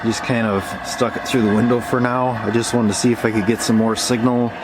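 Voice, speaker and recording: A man's voice: medium pitch, little pitch movement, a little fast. A man narrating a hands-on radio hobby video in a casual, unscripted way, thinking aloud as he goes. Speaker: hobbyist demonstrating shortwave radio reception. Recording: heavy background noise, amateur camera recording.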